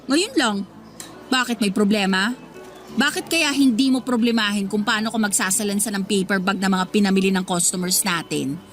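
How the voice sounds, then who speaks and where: A woman's voice: mid-range voice, moderate pace, faint music behind, close to an everyday voice. A woman playing a defensive, evasive young supermarket cashier with a Filipino accent in a radio drama. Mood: evasive, irritated. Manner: evasive, snippy, sarcastic. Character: defensive young female supermarket cashier avoiding her crush.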